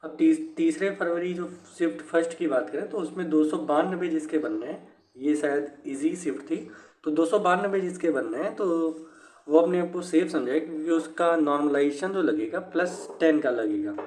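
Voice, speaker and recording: Higher-pitched man, echoey room. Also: normal speed, a little mellow. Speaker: exam news presenter. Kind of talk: informal explanatory commentary on exam cut-off scores.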